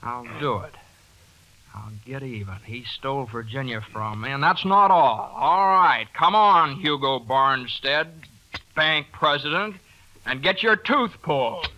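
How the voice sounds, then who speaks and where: An actor playing a brash, folksy small-town dentist with a grudge in a 1940s radio drama. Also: male voice, medium pitch, measured pace, highs cut off, lightly acted. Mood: vengeful. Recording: old 1940s radio broadcast.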